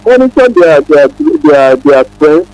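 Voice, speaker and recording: A man's voice: very high voice, big pitch swings, slow pace, gravelly, a little mellow, rough recording. A man with a Nigerian accent phoning in to a radio show, earnestly exhorting and repeating his words. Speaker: radio phone-in caller. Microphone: phone call.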